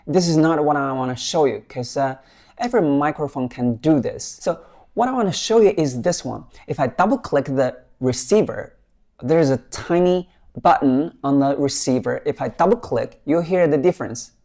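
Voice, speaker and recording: Mellow-voiced man presenting a gadget. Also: a little high, expressive, moderate pace. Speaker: vlogger reviewing a microphone. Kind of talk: casual product demo to viewers.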